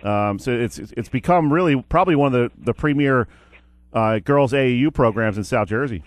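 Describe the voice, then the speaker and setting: Radio host guy in the studio, mid-pitched, dry close sound, lots of "uh"s. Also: brisk, no echo. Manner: chatty, with frequent fillers and restarts. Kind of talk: casual radio interview.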